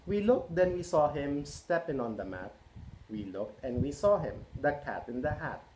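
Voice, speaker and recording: A man in his twenties reading a storybook aloud to children, expressive, with chatty questions to his listeners. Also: fairly high pitch, moderate pace, a little hiss or hum, rough recording. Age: 20s. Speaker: children's read-aloud host and early childhood educator.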